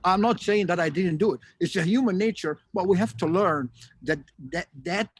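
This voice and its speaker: A man's voice: high voice, moderate pace, slightly rough. A man from Egypt with an Egyptian accent, speaking informally in a panel discussion on a video call and animatedly acting out imagined exchanges. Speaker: symposium speaker.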